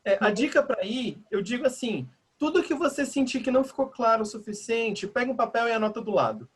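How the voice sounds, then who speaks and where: A man's voice: extremely high pitch, sing-song, brisk, breathy edge. A Brazilian man, an education advisor, adding informal advice to a workshop on a video call. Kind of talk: online workshop commentary.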